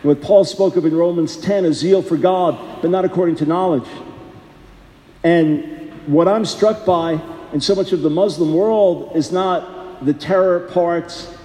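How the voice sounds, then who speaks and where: Man preacher, high mellow voice, earnest conversational sermon, roomy reverberant hall. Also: measured pace. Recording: echoey hall, long reverb.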